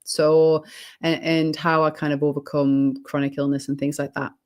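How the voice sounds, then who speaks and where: A British woman, slightly hoarse, chatting as an interview guest in a reflective, rambling way with many ums and restarts. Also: sits low, flat delivery, moderate pace, a little mellow. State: slightly hoarse, losing her voice. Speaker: guest, holistic healing coach. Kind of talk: casual interview chat.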